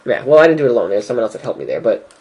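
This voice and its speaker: Husky, laughing young man. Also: medium pitch, sing-song, racing, darkish tone. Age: young adult. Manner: laughing, playful. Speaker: co-host. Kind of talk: casual chat.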